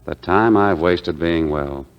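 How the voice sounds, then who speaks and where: A man's voice: deep voice, slow. A man acting a flirtatious, stubborn rich patient in a theatrical 1940s radio style, playful and wheedling. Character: flirtatious, stubborn wealthy male patient, eager and charming. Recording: muffled 1940s radio broadcast.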